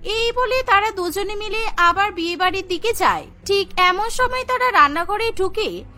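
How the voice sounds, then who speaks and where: A woman's voice: very high-pitched, expressive, normal speed, sharp tone. A woman narrating a scripted cartoon story as the storyteller.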